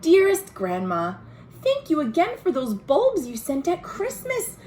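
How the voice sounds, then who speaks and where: A woman's voice: high-pitched, huge pitch swings, measured pace, a little mellow, pitched above the performer's own voice, exaggerated voice. A woman reading aloud a storybook's letters as a shy, eager, affectionate young girl, earnestly and expressively. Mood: fond, eager. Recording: home video with faint background noise.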